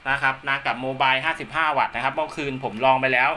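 A man's voice: medium pitch, fairly even intonation, fast, pushed and bright, soft background music. A man giving a casual hands-on demonstration as a radio hobbyist, recorded indoors at home. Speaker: amateur radio hobbyist presenting his own video.

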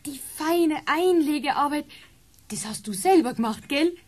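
An actress playing an affectionate, teasing sixteen-year-old niece in a radio play, gushing and playful, with a Bavarian accent. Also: female voice, sits high, expressive, a little slow. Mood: delighted.

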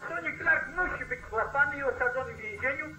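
An actor playing an irate, demanding farmer calling the sheriff in an old 1940s radio play. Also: male voice, very high voice, fast, pushed and bright, exaggerated voice. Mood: angry, threatening. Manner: demanding, blustering. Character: irate, self-interested Southern farmer phoning the sheriff. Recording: old radio play, slightly roomy, telephone-like sound.

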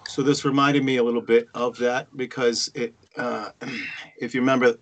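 A man of medium pitch gives feedback over a video call. He talks casually and haltingly, thinking aloud and building his point in short phrases. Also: fairly even intonation, fairly bright tone. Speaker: table-read participant giving feedback. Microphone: video-call microphone.

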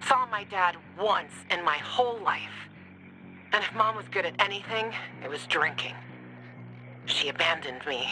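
A woman's voice: fairly low pitch, lively intonation, normal speed, sharp tone, faint music behind, poor audio. A woman voicing a tough, bitter young survivor in a video game, with a blunt, sardonic manner. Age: young adult.

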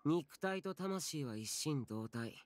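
A man's voice: on the high side, animated, takes it slowly. A man voicing a stern, aloof young immortal warrior in an anime video game, curt and imperious, with archaic wording. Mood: grave. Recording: music under the voice. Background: game music.

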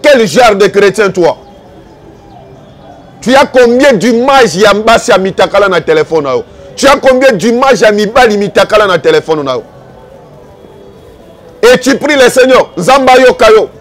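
A male evangelical preacher with a Congolese accent and a very high-pitched voice. He delivers a fervent sermon in an exhorting, accusatory manner. He drives his points home through repetition and rhetorical questions. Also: lively intonation, moderate pace, pauses often, faint music behind.

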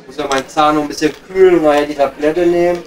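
A man's voice: fairly high pitch, fairly even intonation, quick pace, natural voice. A man with a Ruhr accent playing a cheeky, girl-chasing coal miner chatting in a pub in an improvised audio comedy. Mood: bantering. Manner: cheeky, colloquial, rambling. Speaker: comedy performer. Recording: distant from the microphone. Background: music.

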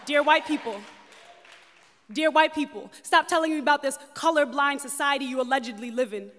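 High bright woman, spoken word in a hall. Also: brisk, a little hiss or hum. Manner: emphatic, rhythmic declamation. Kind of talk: spoken word poetry performance.

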